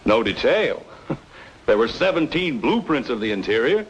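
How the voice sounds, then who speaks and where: An actor playing a proud, bitter architect with a blunt, sarcastic manner in an old-time radio noir drama. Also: male voice, mid-range voice, big pitch swings, normal speed, dull audio, slight background noise. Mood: indignant. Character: bitter, proud male architect, sardonic and quick to anger. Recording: old radio recording with music behind.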